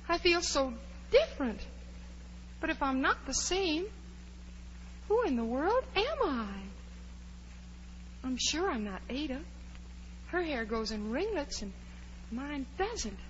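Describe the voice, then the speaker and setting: A dreamy, well-mannered girl in a radio play, with a high voice and unhurried pace. She is earnest and easily flustered. The old recording sounds dull and noisy. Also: fairly bright tone, full character voice. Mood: fretful, puzzled. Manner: earnest, theatrical self-talk. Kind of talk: 1940s radio drama acting.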